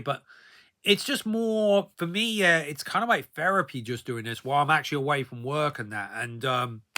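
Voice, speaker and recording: Bright, forceful middle-aged man vlogging casually. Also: on the high side. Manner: rambling, conversational, frequent verbal tags like "you know" and "though". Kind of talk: casual personal storytelling vlog.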